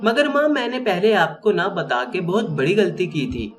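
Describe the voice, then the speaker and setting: A frightened young woman student, as a male storyteller puts her on, sobs through her words. The voice climbs high and swings widely as her pleading grows. Soft music plays behind her. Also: expressive, moderate pace. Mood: tearful. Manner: sobbing, pleading questions.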